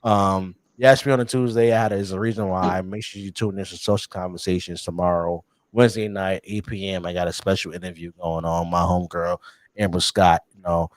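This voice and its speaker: A man co-hosting a casual sports podcast, talking in an animated, teasing way and chuckling often. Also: low-pitched, a little fast, no room sound. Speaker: podcast co-host.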